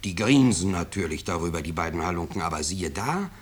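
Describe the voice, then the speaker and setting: An actor playing a smug, anxious middle-aged businessman in a 1950s radio drama, husky and insistently defending himself. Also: low-pitched, animated, fairly quick. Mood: earnest, self-justifying. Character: smug, self-justifying, anxious bourgeois businessman.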